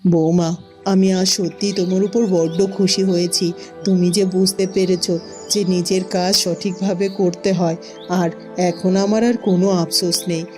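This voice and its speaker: A woman's voice: on the low side, little pitch movement, moderate pace, warm tone, faint music behind, lightly acted. A woman voicing a dramatic, middle-aged village mother-in-law in a cartoon. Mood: relenting, pleased. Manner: scolding, dramatic lamenting. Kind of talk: Bengali cartoon voice acting.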